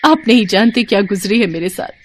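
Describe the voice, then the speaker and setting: A woman's voice: medium pitch, animated, fairly quick, a little hiss or hum, natural voice. A woman voicing a grieving, vengeful female snake shapeshifter in a storybook tale, dramatic and emotional. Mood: pleading.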